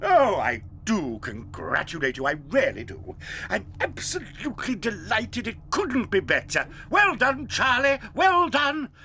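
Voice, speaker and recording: An actor playing an eccentric, excitable old male chocolate maker in a full-cast audio drama. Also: high voice, measured pace, slight background noise, full character voice. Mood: excited. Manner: excitable, theatrical, lively swings.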